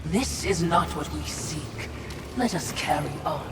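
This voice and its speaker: A woman voicing a clever, wary spirit servant in a video game, deferential to the mistress yet gently chiding. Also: low-pitched, unhurried. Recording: echoey, distant, loud music behind.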